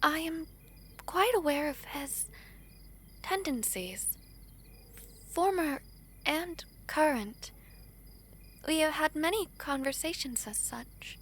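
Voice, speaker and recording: Woman as a high-voiced, gentle young princess. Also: expressive, full character voice. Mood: earnest, composed. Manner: formal, soft-spoken, hesitant pauses. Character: gentle, earnest young foreign princess in love. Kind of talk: romantic audio roleplay acting.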